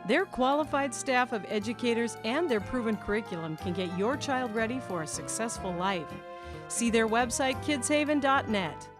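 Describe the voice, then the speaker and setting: Higher-pitched woman reading radio ad. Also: lively intonation, normal speed. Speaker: advertisement announcer. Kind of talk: scripted radio ad narration. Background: music.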